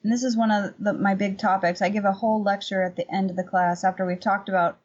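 A woman with a husky voice giving casual, conversational answers as an interview guest. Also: mid-range voice, little pitch movement, fast talker, lo-fi sound. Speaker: podcast guest, painter and workshop teacher.

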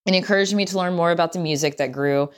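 Low-voiced woman narrating a travel story. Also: quick pace. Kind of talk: scripted narration.

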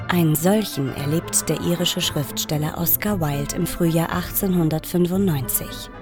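Woman, deep voice, dramatic scripted narration, intro narrator, prominent background music.

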